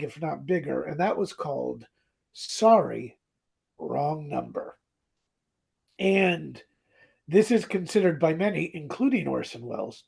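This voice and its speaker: A podcast co-host chatting casually and comedically about old radio drama, with a theatrical, mock-dramatic manner and a husky voice. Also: medium pitch, a little mellow.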